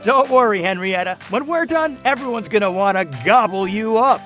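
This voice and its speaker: A woman's voice: a little high, animated, normal speed, music in the background, lightly acted. A woman playing a cheery, salesy makeover-show host.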